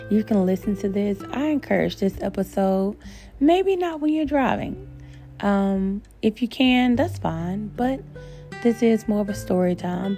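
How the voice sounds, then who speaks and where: A woman hosting a podcast in a casual, encouraging way, often speaking of faith and gratitude to her listeners. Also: medium pitch. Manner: warm, encouraging, spiritual, talks to listeners as "my babies". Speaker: podcast host. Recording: faint guitar music behind.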